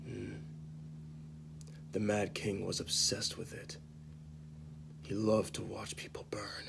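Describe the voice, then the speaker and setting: A man playing a bitter disgraced knight confessing to killing a mad king, in a halting, dramatic amateur monologue that drops into whispers. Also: lowish voice, flat delivery, gravelly, background noise, exaggerated voice. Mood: bitter, brooding.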